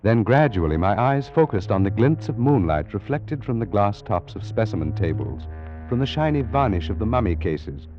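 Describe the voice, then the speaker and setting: An actor playing a scholarly British Egyptologist, formally recounting an eerie adventure in a 1940s radio drama. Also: male voice, lowish voice, very animated, normal speed, faint music behind. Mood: uneasy, suspenseful. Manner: formal, precise, dramatic storytelling. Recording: muffled, noisy vintage radio recording.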